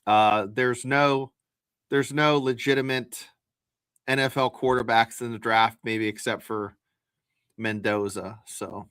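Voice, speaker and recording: A man's voice: medium pitch, fairly even intonation, slow, a bit bright, smooth, dry recording, clean studio sound. Folksy man co-hosting a sports podcast. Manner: folksy, explanatory. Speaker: podcast co-host. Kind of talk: casual sports analysis.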